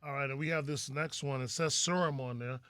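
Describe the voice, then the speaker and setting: A man's voice: medium pitch, steady tone, a little fast, pushed and bright, smooth, no room sound, studio quality. A man hosting a podcast interview in an informal, slangy and encouraging way. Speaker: podcast host. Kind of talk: casual interview chat.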